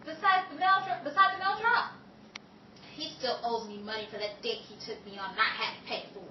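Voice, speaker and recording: A woman playing a sassy, quick-witted, teasing young working woman in a 1930s-style radio comedy. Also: a little high, dull audio, natural voice. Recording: echoey hall, distant mic, audience noise.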